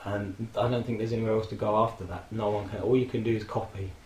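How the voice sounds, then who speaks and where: A man in his forties, hoarse from a throat infection, casually rambling and reminiscing with frequent fillers and laughs. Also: lowish voice, steady tone, quick pace, darkish tone, reverberant, a little hiss or hum. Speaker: vlogger. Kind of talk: casual personal tribute monologue.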